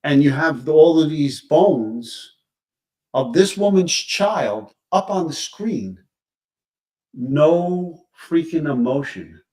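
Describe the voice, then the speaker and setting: A man discussing crime cases casually on a live stream, halting as he searches for words, recorded at a distance from the microphone. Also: fairly high pitch, expressive, warm tone.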